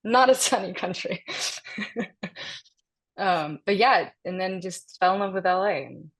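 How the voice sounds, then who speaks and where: Woman actor in her 30s, lowish voice, chatty and laughing, lots of ums. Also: a little slow. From Denmark. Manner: animated, laughs often, many fillers and restarts. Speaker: actor, interview guest. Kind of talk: casual interview chat.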